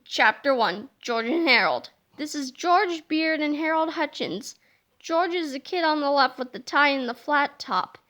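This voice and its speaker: A woman reads a children's book aloud with animated, playful narration. Her voice sits high and sounds bright and lively. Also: a little slow. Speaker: storybook reader.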